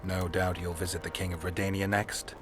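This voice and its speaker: An actor playing a stoic male monster hunter in a video game, terse and deadpan with dry, laconic delivery. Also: low-pitched, fairly quick, a little hiss or hum. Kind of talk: video game dialogue acting. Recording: music behind the voice.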